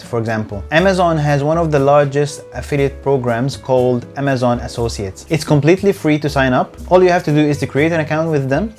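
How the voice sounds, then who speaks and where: A man's voice: medium pitch, a little mellow. A man who speaks English as a later language, giving a scripted, earnest tutorial as host of his own channel, a little stiff on camera. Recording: faint background music.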